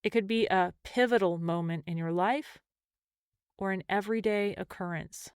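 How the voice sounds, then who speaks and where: A woman leading a guided meditation as a mindfulness teacher, speaking gently and in measured phrases with pauses. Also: mid-range voice, smooth, no room sound, studio quality.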